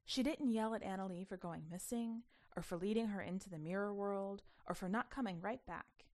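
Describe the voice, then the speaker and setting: A woman reading a short story aloud as an expressive, emphatic narrator with a sense of childlike wonder. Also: medium pitch, normal speed.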